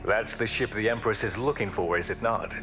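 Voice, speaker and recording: An actor playing a stern, honorable veteran alien admiral in a video game, speaking in a formal, measured, authoritative way. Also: male voice, fairly low pitch, music in the background, lightly acted. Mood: proud, respectful. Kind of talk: video game cutscene acting.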